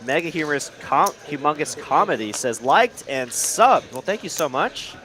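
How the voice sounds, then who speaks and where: Upbeat young stream host. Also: male voice, on the high side, lively intonation, moderate pace, a bit bright. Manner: upbeat, thanks viewers, reads chat aloud. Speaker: livestream host and poker commentator. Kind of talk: live poker stream commentary.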